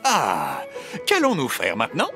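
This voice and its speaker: A man's voice: medium pitch, huge pitch swings, a little slow, over music. A man voicing a jolly, proud adult elf builder in a children's cartoon, hearty and boastful.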